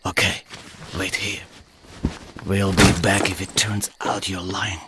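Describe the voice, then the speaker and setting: An actor playing a tough Soviet soldier in a war game, speaking in a terse, threatening manner. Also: male voice, low-pitched, flat delivery, slow pace, very noisy. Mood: cold, threatening. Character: tough, menacing male Soviet squad soldier.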